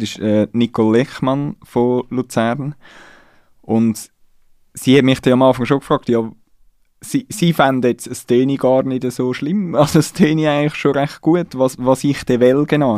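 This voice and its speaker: A man's voice: medium pitch, dark tone, no echo, studio quality. A man with a Swiss accent, a musician talking as a guest in a casual interview, thinking aloud and restarting his sentences as he searches for words.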